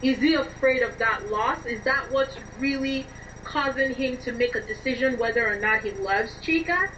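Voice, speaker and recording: A woman being interviewed, explaining things in an expressive, emphatic conversational way over a distant, dull and noisy connection. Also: fairly high pitch, moderate pace. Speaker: interview guest, scriptwriter.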